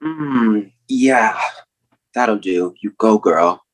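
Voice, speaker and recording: A man's voice: fairly low pitch, lively intonation, extremely slow. A man in a comedic play reading, playing a cheeky young friend with a flippant, sarcastic delivery.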